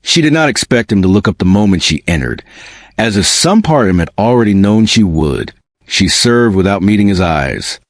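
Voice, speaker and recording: A man narrating a romantic drama in a measured, dramatic storytelling style. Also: lowish voice, sing-song, no echo.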